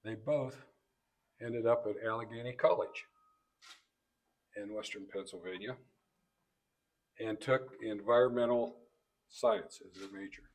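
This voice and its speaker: A man introducing guests in a banquet speech, delivering it phrase by phrase in a halting way. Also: fairly low pitch, low-quality recording. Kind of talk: banquet introduction speech, read from notes with asides. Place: banquet event room.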